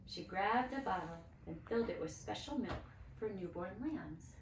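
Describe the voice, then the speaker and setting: Female storybook reader: dramatic, animated delivery, echoey room with faint noise. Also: medium pitch, moderate pace. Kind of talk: expressive children's story reading. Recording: roomy, distant mic with faint noise.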